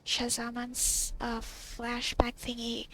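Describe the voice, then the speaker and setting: A woman giving casual let's play commentary, informal and halting as she thinks aloud. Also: a little high, completely flat delivery, a bit bright, rough recording. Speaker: gamer reacting to a story quest.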